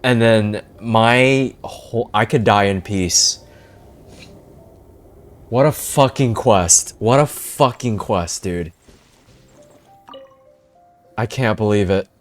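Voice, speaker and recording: A man's voice: lowish voice, takes it slowly, pauses often, faint music behind. A man reacting casually to a video game on a livestream, slangy and sweary, with an awestruck manner.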